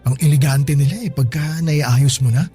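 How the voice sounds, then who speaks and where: A man's voice: fairly high pitch, moderate pace, warm tone, husky, soft background music. An actor playing a friendly, good-humored male store boss with a Filipino accent, chatting easily in a radio drama. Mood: warm, chatty. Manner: chatty, chuckling between remarks. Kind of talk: Tagalog radio drama acting, conversational.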